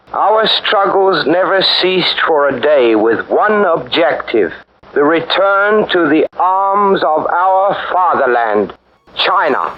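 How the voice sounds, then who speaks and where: An actor in a 1940s radio drama voicing a solemn male resistance leader in a slow, grave, declamatory delivery. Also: fairly high pitch. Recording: dull old radio broadcast with crowd noise.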